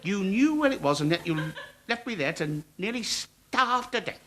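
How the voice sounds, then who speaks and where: A high, bright male voice: a petulant English husband in a television sitcom. He is middle-aged, sarcastic and exasperated, with very animated pitch. Faint noise sits on the old TV copy. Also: normal speed. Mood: indignant. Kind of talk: TV sitcom acting.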